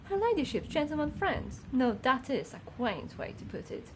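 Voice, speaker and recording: Woman acting a high-voiced, prim old housekeeper. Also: sing-song, normal speed, background noise. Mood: disapproving, suspicious. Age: older. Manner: haughty, fussy, disapproving gossip. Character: prim, gossipy, judgmental older housekeeper in an animal world. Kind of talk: scripted game character dialogue.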